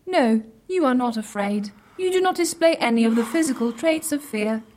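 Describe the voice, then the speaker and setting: A woman playing a literal-minded, smug artificial superintelligence in an audio comedy, speaking in a precise, stilted, deadpan way. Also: fairly high pitch, normal speed, natural voice. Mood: pedantic, deadpan. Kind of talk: scripted audio comedy drama.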